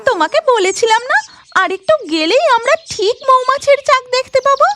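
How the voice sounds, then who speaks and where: A woman's voice: very high-pitched, expressive, a little fast, background noise. A woman playing an anxious, coaxing village wife in a cartoon horror story. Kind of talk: dramatic cartoon dialogue.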